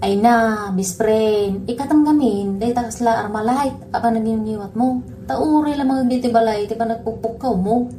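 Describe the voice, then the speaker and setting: A woman's voice: fairly high pitch, normal speed, warm tone, a little hiss or hum, lightly acted. A woman with a Filipino accent playing a blunt best friend who chides and gives advice in a comedy radio drama. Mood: teasing, chiding. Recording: music behind the voice.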